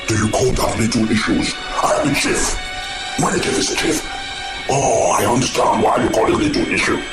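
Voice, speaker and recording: A man's voice: mid-range voice, brisk, close to an everyday voice. A man playing a proud, pompous chief who lectures and takes offense over his title. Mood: indignant. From Nigeria. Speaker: film distributor and producer. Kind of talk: amateur radio drama acting. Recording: echoey room with background music.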